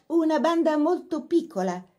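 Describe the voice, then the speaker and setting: A woman's voice: sits high, big pitch swings, moderate pace, darkish tone, voice pushed up. A woman voicing an eager young upper-class girl who chatters breathlessly in a storybook reading. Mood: polite.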